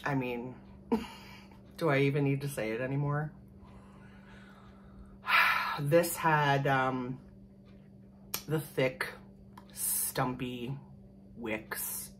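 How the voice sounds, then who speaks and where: A woman in her thirties from Canada, hoarse from a cold, chatting casually and rambling to the camera at home. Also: extremely low pitch, steady tone, drawn-out words, pauses often, noisy background. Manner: rambling, chatty, laughs often. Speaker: vlogger. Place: small room at home, indoors. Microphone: camera in front at desk distance.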